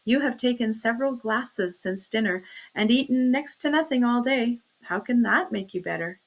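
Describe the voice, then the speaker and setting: A woman's voice: fairly high pitch, lively intonation, moderate pace. A woman voicing an anguished but firm young wife and mother in an audiobook, pleading and emphatic. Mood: coaxing.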